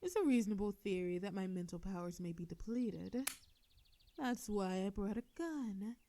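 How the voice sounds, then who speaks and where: Woman acting a creaky, sardonic telepathic villainess. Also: medium pitch, expressive, dark tone, slight background noise, lightly acted. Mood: defiant, commanding. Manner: theatrical, taunting, drawn-out words. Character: sardonic female telepathic supervillain, menacing yet softening. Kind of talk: scripted roleplay audio drama monologue.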